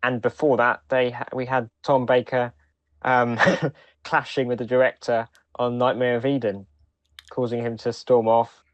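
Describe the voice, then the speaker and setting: A man co-hosting a podcast in casual discussion, speaking in a halting way with frequent restarts. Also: medium pitch, level tone, no room sound, highs cut off. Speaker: podcast co-host.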